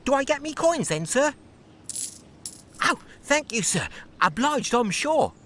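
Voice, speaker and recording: Cheeky cockney paperboy, game voice, really high n bouncy, sly and gossipy, bit of street noise. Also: male voice, very animated, unhurried, fairly bright tone, heavily acted. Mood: cheeky, eager. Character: cheeky young street newsboy, eager for coins. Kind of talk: dramatic video game voice acting.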